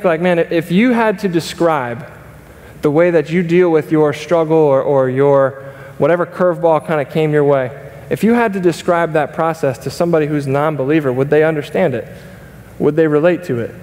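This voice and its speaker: A man's voice: fairly high pitch, a little mellow. A young man preaching a sermon from a church stage, earnest and conversational, with many fillers and restarts. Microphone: stage mic, wide camera. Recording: long reverb of a church hall.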